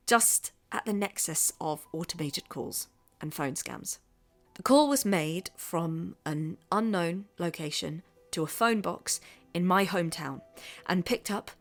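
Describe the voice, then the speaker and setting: Hushed low-voiced woman narrating. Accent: English. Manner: hushed, conspiratorial storytelling. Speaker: podcast host and narrator. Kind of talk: scripted narration.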